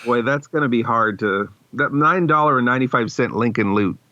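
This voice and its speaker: A man's voice: mid-range voice, a little fast, bright, forceful tone, no room sound, highs cut off. A man in casual back-and-forth while rehearsing a script. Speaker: co-reader at a script read-through.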